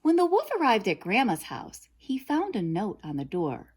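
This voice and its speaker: A woman narrating a children's story in a dramatic, deliberate, expressive way. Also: medium pitch, breathy edge. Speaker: storybook reader.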